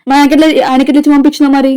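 Young female vlogger, high voice, very rapid insistent delivery, casual bargaining. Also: little pitch movement, over a noisy crowd. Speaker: family vlogger. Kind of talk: casual prank phone chat.

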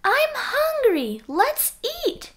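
An excitable little boy frog from a children's storybook, acted out by a woman. The voice is very high and leaps around, eager and a bit clumsy in temper. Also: very slow, exaggerated voice. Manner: exclaiming, eager.

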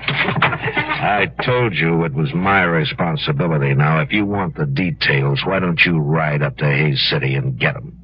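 An actor playing a gruff, weary frontier marshal in a 1950s radio western, terse and blunt. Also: male voice, very deep voice, a little slow, heavily acted. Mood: curt, bitter. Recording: dull, hissy old 1950s radio recording.

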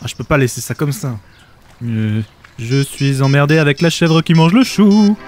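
A man's voice: fairly high pitch, normal speed, music in the background, natural voice. An actor playing a sarcastic, overwhelmed everyman ark builder in a comedic audio drama, haggling in hesitant, colloquial speech.

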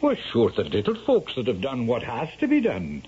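An actor playing a sly, garrulous Irish storyteller in a radio drama, blustering and stammering with an Irish accent. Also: male voice, mid-range voice, wildly swooping pitch, moderate pace, darkish tone, breathy edge, heavily acted. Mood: sly, storytelling. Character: sly, garrulous Irish storyteller and anxious old rogue father. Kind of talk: 1970s radio drama acting. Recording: dull, noisy 1970s radio broadcast.

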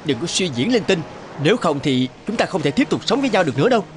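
A man's voice: sits high, very animated, fast. A man voicing an evasive young fisherman husband in a cartoon, speaking hesitantly, with music behind. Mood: stern, irritated. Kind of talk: animated folk-tale dubbing. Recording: music under the voice.

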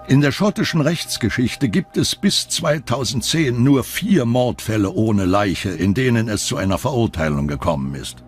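A man reading scripted narration for a true-crime documentary. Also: mid-range voice. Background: background music.

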